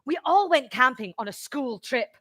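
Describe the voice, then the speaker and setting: A woman playing an excitable, bossy schoolgirl narrator, telling a children's story with theatrical emphasis. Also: high-pitched, normal speed, no echo, studio quality. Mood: storytelling.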